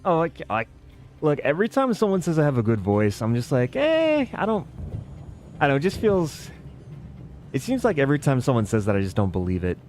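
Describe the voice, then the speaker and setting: A man giving casual, musing commentary while playing a building game, thinking aloud with relaxed asides. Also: mid-range voice, a little fast, soft background music. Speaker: gaming video creator.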